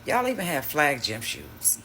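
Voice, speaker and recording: A man's voice: on the high side, wildly swooping pitch, a little slow, bright, forceful tone, a little hiss or hum. A man hosting a reaction video, talking casually in a mocking, slangy way.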